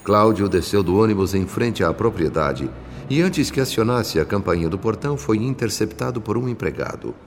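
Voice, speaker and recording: Deep Brazilian male radio drama narrator. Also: sing-song, a little hiss or hum. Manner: formal, measured. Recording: long reverb, music behind.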